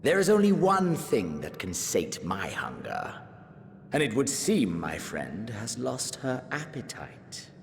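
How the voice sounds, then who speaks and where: An actor playing a menacing, obsessive princely villain in a video game, brooding and aristocratic, moving between whispers and intensity. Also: male voice, medium pitch, huge pitch swings, slow, vocal fry, a little hiss or hum, exaggerated voice. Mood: quiet menace. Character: menacing, obsessive princely male villain craving a worthy battle. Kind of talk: dramatic video game cutscene acting. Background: background music.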